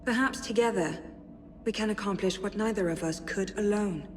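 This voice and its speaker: An actress playing a spirited young French noblewoman in a video game, reading letters aloud in an expressive, measured, articulate way. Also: medium pitch, a little hiss or hum, natural voice. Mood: tender, reserved.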